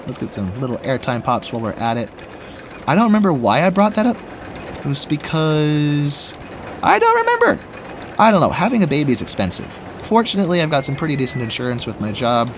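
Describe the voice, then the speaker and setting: A young man with a rough, slightly raspy voice after being sick, chatting casually and rambling as he plays a theme park building game. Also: a little high, sing-song, brisk, a little hiss or hum. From Washington State. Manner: rambling, chatty, laughs often, talks to himself while building. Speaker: gaming YouTuber. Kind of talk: casual let's play commentary.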